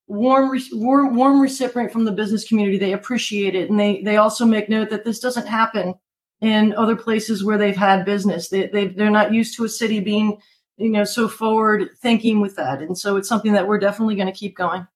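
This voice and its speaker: An American woman from Maryland speaking as an interview guest, a city manager talking conversationally and with upbeat conviction. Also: mid-range voice. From Maryland, United States.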